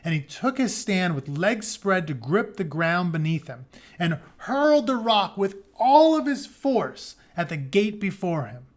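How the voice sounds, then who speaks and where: A man's voice: sits high, big pitch swings, unhurried, hardly any pauses. A man reading an epic story aloud as a teacher, dramatic in the text and breaking into casual, informal explanations. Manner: dramatic reading broken by plain informal paraphrase. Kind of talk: read-aloud of an epic retelling with casual asides.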